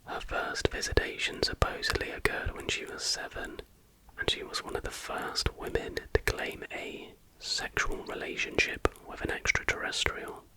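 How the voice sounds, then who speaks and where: A man reading aloud in a soft, breathy, unvoiced whisper as an informal ASMR host. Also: normal speed, low-quality recording.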